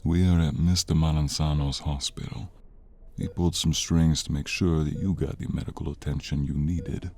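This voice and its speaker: A man playing an older, stern but forgiving father in an audio drama, with a gravelly, husky voice and a measured, grave delivery. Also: extremely low pitch, fairly even intonation, a little mellow. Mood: calm, reassuring. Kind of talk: audio roleplay drama acting.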